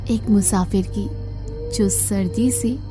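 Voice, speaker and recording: A woman's voice: mid-range voice, fairly even intonation, slow, over music, close to an everyday voice. A woman playing an alluring, mysterious young phantom with a coaxing, eerie manner in a horror audio story.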